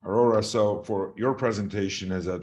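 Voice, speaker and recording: A middle-aged man with a Georgian accent giving a formal but unscripted presentation, explaining in a halting way and stressing key words. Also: on the low side, fairly even intonation, darkish tone, highs cut off. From Georgia (the country). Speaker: conference presenter on health governance. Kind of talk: formal presentation, speaking extempore.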